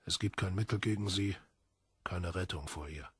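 A man narrating a literary audiobook in a husky voice, with solemn, measured, dramatic emphasis. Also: deep voice, dry recording.

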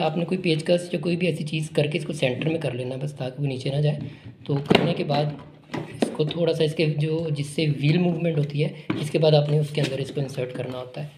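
Guy walking thru putting a kids toy together, kinda high voice, phone mic, echoey room w noise. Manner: casual, explanatory, repeats himself while working with his hands. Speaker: online shop product demonstrator. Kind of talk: unscripted unboxing and assembly walkthrough. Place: indoor room with a table.

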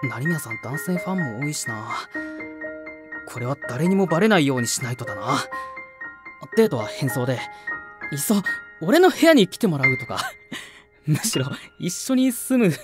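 A man's voice: on the high side, sing-song, normal speed. A young man playing a timid, earnest junior sound worker in an audio drama, polite, hesitant and easily flustered. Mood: excited, musing. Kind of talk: audio drama acting with inner monologue. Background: background music.

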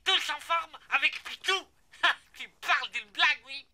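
A woman's voice: sits high, a little slow, sharp tone, a little hiss or hum, heavily acted. A woman voicing a brash, mocking show-off of an animal in a children's cartoon. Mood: scornful, impatient. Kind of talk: French children's cartoon dubbing. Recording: music behind the voice.

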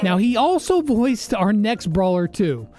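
Animated higher-voiced man. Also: a little slow. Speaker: video host. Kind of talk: casual countdown commentary over gameplay. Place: small home studio, indoors. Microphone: close boom-arm studio microphone. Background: faint music.

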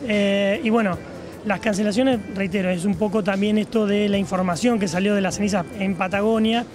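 A man in his forties with an Argentine accent, a tourism official explaining things in a measured way with "este" fillers, interviewed on a trade fair stand with a handheld mic. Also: high voice, steady tone, music in the background. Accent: Argentine Spanish. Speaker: provincial tourism secretary. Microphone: handheld reporter mic.

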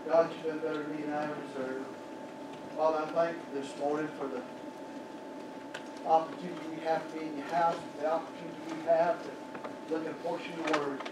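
Older pastor, fervent pulpit preacher, echoey sanctuary recording with room noise. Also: male voice, on the high side, level tone, a little fast. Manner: fervent preaching with sudden shouted peaks, then quiet prayer. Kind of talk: sermon. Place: small church sanctuary. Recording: roomy church echo from far off, background noise.

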